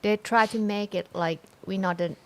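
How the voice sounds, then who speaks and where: This is a woman in her forties with a Thai accent, co-hosting a livestream in simple, direct English. She makes blunt, dry remarks, and her voice sits at a mid pitch. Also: fairly even intonation, normal speed. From Thailand. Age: 40s. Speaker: livestream co-host. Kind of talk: casual livestream chat.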